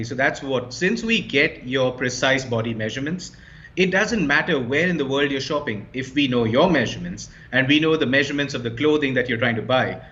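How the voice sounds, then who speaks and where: A man of medium pitch speaks fluently and with confidence, stressing his numbers and points as he lays out a business problem. The sound is a little dull and lo-fi. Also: sharp tone. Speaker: startup co-founder, guest. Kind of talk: explaining his business in an interview.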